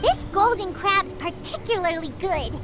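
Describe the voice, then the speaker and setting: A woman voicing a tiny, squeaky, childlike fairy companion in a video game, friendly and greedy, talking about herself in third person. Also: expressive, moderate pace, over music. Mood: delighted, gluttonous. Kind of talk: scripted video game voice acting.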